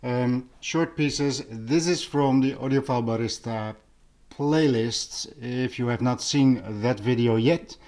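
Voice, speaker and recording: A man with a non-native English accent chatting casually in a vlog as an audio hobbyist, halting and pausing mid-thought in an unhurried way. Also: medium pitch, darkish tone. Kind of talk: casual vlog product chat.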